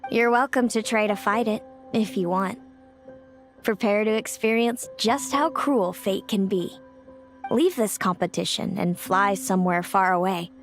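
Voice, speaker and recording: A woman playing a fatalistic seer and confident competitor in a video game, speaking in a measured, solemn, self-assured way. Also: medium pitch, natural voice. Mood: confident challenge. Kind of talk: scripted video game voice acting. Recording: music behind the voice. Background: music.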